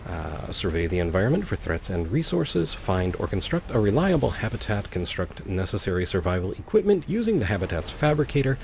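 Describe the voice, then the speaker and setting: A man's voice: on the low side, big pitch swings, fairly quick, creaky, hardly any pauses, a little mellow, slight background noise. A man hosting a let's play, commentating and reading game text aloud with wry, playful asides. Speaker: gaming video host.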